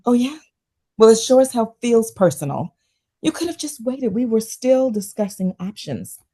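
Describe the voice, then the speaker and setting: A woman's voice: a little high, sing-song, moderate pace, warm tone, husky. A woman playing a stressed, wary, sardonic doctor in a naturalistic table read of a drama script. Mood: indignant.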